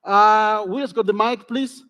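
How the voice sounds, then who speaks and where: High-voiced man leading a workshop. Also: measured pace, sharp tone, dull audio. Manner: encouraging, repeats key phrases. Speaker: workshop facilitator. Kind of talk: interactive workshop talk to an audience. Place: large conference hall. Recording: reverberant conference hall.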